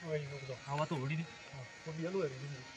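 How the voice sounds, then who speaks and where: Brief: young male vlogger, slightly high voice, loose outdoor chat, loud music behind. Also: steady tone. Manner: loose, chatty, giving quick instructions. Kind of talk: casual chat while pitching a tent. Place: outdoor park among pine trees.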